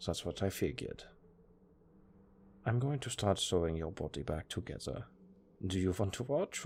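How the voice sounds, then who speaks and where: A man with a German accent playing a cold, condescending necromancer master in an audio roleplay, speaking in a deadpan, unhurried, gravelly way. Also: sits low, a little hiss or hum, exaggerated voice. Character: cold, dryly matter-of-fact male necromancer master.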